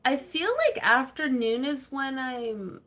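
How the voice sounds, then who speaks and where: Slow-talking woman livestream host. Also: sits high, fairly bright tone. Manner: chatty, laughs easily, rambling with fillers. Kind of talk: casual livestream chat reading comments.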